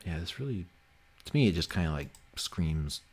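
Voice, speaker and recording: A man's voice: low voice, expressive, normal speed, a little mellow, slightly rough. A man hosting a development livestream, thinking aloud in an informal, sweary, teasing way. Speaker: livestream host and game developer. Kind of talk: casual livestream chat, thinking aloud while working.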